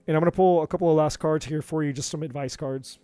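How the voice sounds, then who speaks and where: A man doing a live tarot reading, talking in an earnest, encouraging way with many repeats, his voice going a bit hoarse. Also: fairly high pitch, fairly even intonation, fast, warm tone, no echo, faint music behind. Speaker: tarot reader.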